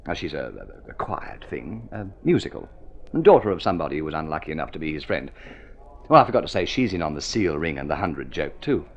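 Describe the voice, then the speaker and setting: An actor playing a spoiled, idle young gentleman in an old radio drama, breezy and exclamatory, full of upper-class slang. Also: a bass voice, sing-song, fast talker, a little hiss or hum, heavily acted. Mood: flippant, chatty. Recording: dull vintage radio recording.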